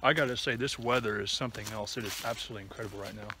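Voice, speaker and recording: A man in his thirties chatting casually and a bit haltingly to the camera as he walks a trail outdoors. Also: lowish voice, fairly even intonation. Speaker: hiking vlogger. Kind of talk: casual vlog chat to camera while walking. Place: outdoors on a wooded trail and open meadow. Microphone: phone or camera at arm's length. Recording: outdoor recording with clear ambient noise.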